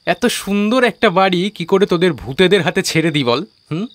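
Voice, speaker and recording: A man's voice: high voice, expressive, normal speed, no room sound. An actor playing a cocky, scoffing young man who leads his friends in an audio ghost story. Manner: mocking, colloquial. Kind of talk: audio drama dialogue in a Bengali ghost story.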